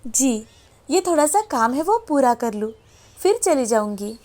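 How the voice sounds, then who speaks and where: A woman voicing a caring, curious village wife in a moral story, with expressive, solicitous delivery. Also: high voice, measured pace. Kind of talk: scripted moral story dialogue.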